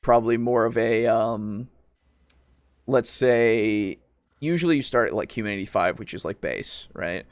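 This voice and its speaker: Male podcast co-host, slightly low pitch, dry recording, casual and hesitant, thinks out loud as he goes. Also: a little slow, dry recording. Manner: rambling, hesitant, thinking aloud. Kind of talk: casual discussion.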